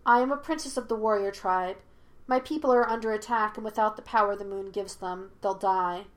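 A woman's voice: mid-range voice, brisk, lightly acted. Woman playing a stern, proud warrior princess. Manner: stern, defiant.

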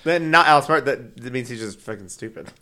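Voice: mid-range voice, lively intonation, fairly quick, sharp tone. A co-host of a casual true-crime podcast who banters playfully and laughs often.

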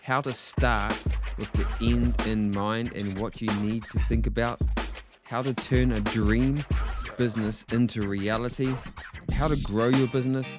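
A man's voice: mid-range voice, fairly even intonation, a little slow. A man hosting a podcast, introducing the guest in an upbeat presenter's manner. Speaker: podcast host. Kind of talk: podcast interview. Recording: music playing behind.

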